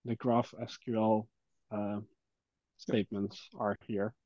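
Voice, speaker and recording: A man's voice: on the low side, little pitch movement, slow pace, mellow. A man in a casual work call discussion, talking informally and thinking aloud as he explains his view. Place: online call. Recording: dry, dull call audio.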